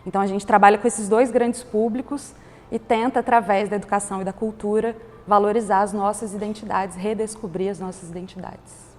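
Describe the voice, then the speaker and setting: Female panel guest, explanatory, mid-pitched, roomy hall sound. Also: fairly even intonation, a little fast, darkish tone, a little hiss or hum. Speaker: guest, director of a cultural organization. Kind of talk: panel talk. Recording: hall reverb.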